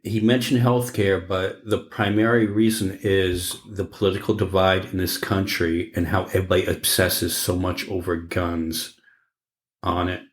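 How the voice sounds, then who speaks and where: A man's voice: low-pitched, level tone, moderate pace. A man co-hosting a podcast in casual chat, opinionated and given to swearing. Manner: opinionated, profane. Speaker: podcast co-host. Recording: echoey room.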